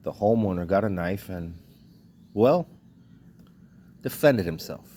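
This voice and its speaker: A man's voice: low-pitched, lively intonation, slow, mellow. A man from Trinidad and Tobago delivering a blunt, indignant vlog rant in short clipped sentences, swearing freely. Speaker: vlogger. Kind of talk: ranting monologue.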